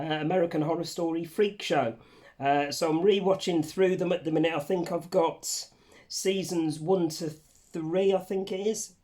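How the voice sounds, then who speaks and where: A man talks to camera in a raised, croaky voice, hoarse and worn from a cold. He chats in a loose, rambling way and swears casually. He is recorded indoors at home under a ring light. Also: a little high, a little mellow. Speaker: channel host. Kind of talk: casual vlog chat. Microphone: facing camera under a ring light.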